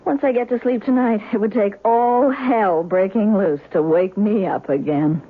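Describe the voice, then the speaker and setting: An actress playing a frightened, uneasy wife in a 1970s radio drama, with halting, suspenseful delivery, on a thin, noisy recording. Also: female voice, mid-range voice, narrow, tinny audio, close to an everyday voice. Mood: uneasy, suspicious. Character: frightened wife uneasy in her haunted home.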